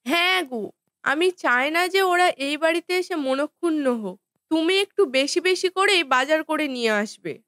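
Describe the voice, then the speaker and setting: A woman voicing a sweet, dutiful wife in a Bengali family cartoon, gentle and earnest in manner. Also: high-pitched, lively intonation, normal speed, no room sound, clean studio sound. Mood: concerned.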